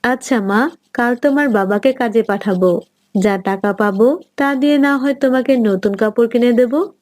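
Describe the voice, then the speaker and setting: A woman's voice: on the high side, moderate pace, darkish tone, dry recording, lightly acted. A woman playing a patient, loving mother of a poor family in a children's cartoon. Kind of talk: children's moral cartoon voice acting.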